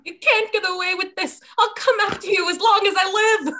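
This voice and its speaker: A woman's voice: very high voice, fairly even intonation, brisk, sharp tone, a little hiss or hum. A woman playing an earnest, anxious young plumber brother, plaintive and melodramatic, in an amateur script read-through. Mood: defiant.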